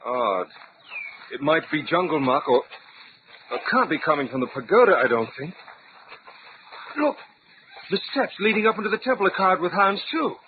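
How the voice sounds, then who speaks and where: An actor playing a proper, well-travelled gentleman adventurer in an old-time radio serial, with a theatrical, musing manner. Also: fairly high pitch, big pitch swings, normal speed, long pauses. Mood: curious. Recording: tinny band-limited radio drama, background noise.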